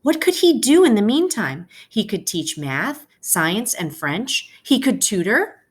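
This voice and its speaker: A woman teacher reading a picture book aloud as a storybook narrator, phrasing deliberately with pauses for young listeners. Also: medium pitch, big pitch swings, husky.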